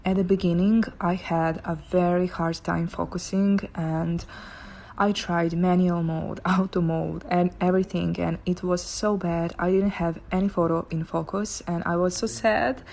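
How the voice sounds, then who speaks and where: A woman's voice: on the low side, level tone, unhurried, creaky, a little mellow, slight background noise. A young woman with a Serbian accent, a photographer narrating her shoot in a chatty way and laughing often. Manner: chatty, laughs often, non-native phrasing. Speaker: photographer vlogger.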